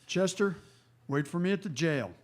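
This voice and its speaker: An actor playing a steady, plain-spoken frontier marshal, laconic and matter-of-fact, in a Western radio drama. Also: male voice, high-pitched, moderate pace. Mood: sober, resolute.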